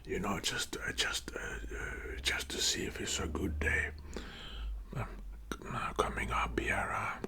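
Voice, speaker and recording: A man from Finland with a Finnish accent whispering a casual personal story in ASMR style, in a halting, hushed manner. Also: on the low side, steady tone. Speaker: ASMR video creator and support worker.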